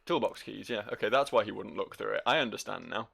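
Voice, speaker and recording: Creaky-voiced man doing joking game commentary. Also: on the low side, quick pace, a bit bright. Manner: rambling, teasing, talks to the game character. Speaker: gaming video host. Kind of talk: casual, joking let's play commentary.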